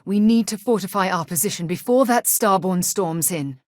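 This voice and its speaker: A woman's voice: mid-range voice, lively intonation, moderate pace, a little mellow, dry recording, studio quality, natural voice. A woman playing an earnest, principled explorer and companion in a space video game, articulate with a wry streak. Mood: earnest, measured.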